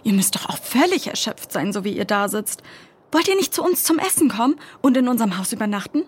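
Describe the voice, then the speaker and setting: A woman playing a caring, hospitable mother in a children's audio drama, with an animated delivery. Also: a little high, fairly quick, breathy edge. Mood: caring, inviting.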